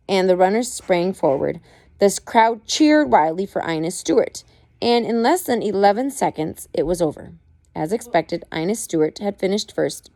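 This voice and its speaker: A woman with a slightly low voice reads a true-life story aloud at home. Her delivery is measured, leaning on key words like a parent at storytime. The sound is dry, with very little room in it. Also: vocal fry, mellow, no room sound. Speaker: parent reading aloud to child. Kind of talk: reading a biography aloud, storytelling. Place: at home with a child nearby.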